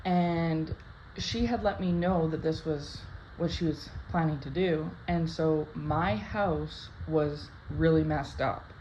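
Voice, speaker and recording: Woman, low voice, a touch raspy from sleep, chatty spiritual vlog talk, dull lo-fi recording. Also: slow pace, warm tone. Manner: chatty, rambling, many "like" and "right?" tags. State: slightly raspy from just waking up. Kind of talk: casual spoken dream interpretation to camera. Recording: dull lo-fi sound with faint background hiss.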